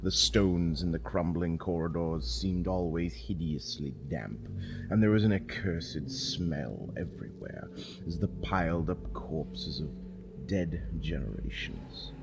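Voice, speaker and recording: Deep-voiced man solemnly reading gothic horror, noisy background. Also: lively intonation, vocal fry. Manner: solemn, measured, brooding. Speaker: story narrator. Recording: noisy, with ambience underneath. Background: spooky ambience.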